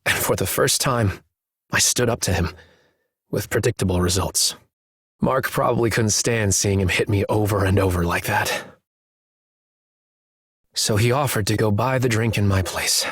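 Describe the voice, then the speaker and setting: An actor playing a somber, haunted young man in a video game cutscene, speaking in an earnest, subdued and measured way. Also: lowish voice, a bit bright, husky, no room sound, clean studio sound. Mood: somber, bitter.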